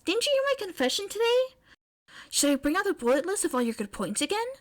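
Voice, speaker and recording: A woman plays a teenage girl inventor, blunt and head over heels, in a story reading. Her voice is high and very animated. She states things emphatically, with bubbly, eager frankness. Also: fast talker. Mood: excited, eager. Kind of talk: audiobook-style fan fiction character reading.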